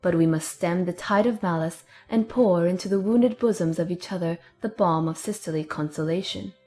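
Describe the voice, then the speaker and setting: Woman acting a solemn, pompous young moralizer, low-voiced. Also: normal speed, mellow, faint music behind, lightly acted. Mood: grave, moralizing. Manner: solemn, preachy, sententious. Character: pompous, moralizing young sister in Regency England. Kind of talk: dramatized audiobook acting.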